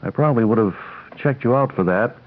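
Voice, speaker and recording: An actor playing a self-important, obtuse young male hospital doctor, deadpan and pedantic, in a radio comedy. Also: mid-range voice, brisk. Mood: smug. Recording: tinny, band-limited old radio recording.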